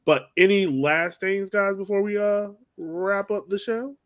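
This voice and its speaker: A man's voice: high-pitched, measured pace, a bit bright. A man hosting a casual sports podcast, chatting informally and speaking straight to his co-hosts. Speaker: podcast host.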